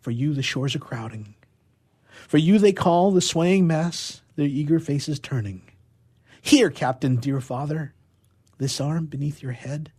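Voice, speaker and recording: A man with a husky voice, a radio theatre player reading and discussing poetry. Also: on the high side, measured pace, a little mellow. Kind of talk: expressive poetry recitation and casual discussion.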